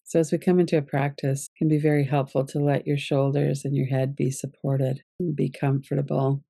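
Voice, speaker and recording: Mellow, creaky-voiced woman guiding relaxation. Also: extremely low pitch, dry recording, clean studio sound. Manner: calm, gentle, unhurried instruction. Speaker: yoga nidra teacher. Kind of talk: guided relaxation meditation.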